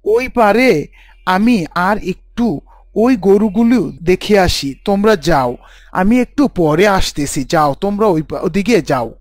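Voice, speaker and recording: A performer plays an excitable boy who chats eagerly with his friends, at a middling pitch. The recording is dry and close. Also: measured pace, dry recording, close to an everyday voice. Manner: chatty, excitable.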